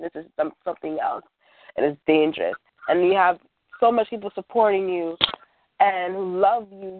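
A woman's voice: lowish voice, dry recording. A woman giving heartfelt, supportive talk on a radio show, repeating phrases for emphasis. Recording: narrow phone-like call-in line.

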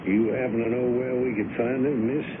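An actor in a radio drama playing a firm, suspicious police officer with a dry, official, matter-of-fact manner. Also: male voice, medium pitch, level tone, brisk, natural voice. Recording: lo-fi, noisy old radio broadcast.

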